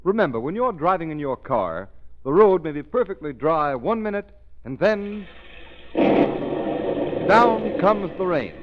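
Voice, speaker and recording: A man's voice: high voice, sing-song, a little slow, long pauses, heavy background noise. An American man working as a 1930s radio announcer, reading an advertisement with an emphatic salesman's delivery. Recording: muffled 1930s radio recording.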